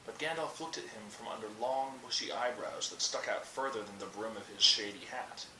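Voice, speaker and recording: A man's voice: lowish voice, a little fast, a crowd in the background. A young man reading a bedtime story aloud from a book, recorded at arm's length in a small room. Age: young adult. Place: small indoor room by a curtain. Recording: roomy, distant webcam mic, faint noise.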